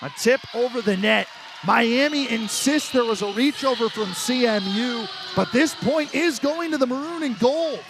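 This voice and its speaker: High-voiced man calling sports play-by-play. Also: expressive, moderate pace. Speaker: play-by-play commentator. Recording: noisy sports venue.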